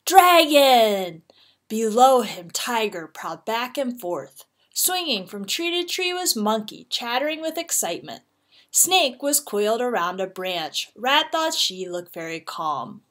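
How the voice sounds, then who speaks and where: A woman narrating a children's storybook expressively, with deliberate, dramatic phrasing. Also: medium pitch, sharp tone. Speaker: read-aloud teacher.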